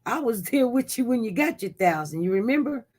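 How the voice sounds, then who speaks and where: Animated live-stream news host. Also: medium pitch, brisk. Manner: animated, voice jumps up loud when reacting to chat; rambling with frequent restarts. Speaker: livestream news host reading viewer chat. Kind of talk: casual live-stream commentary.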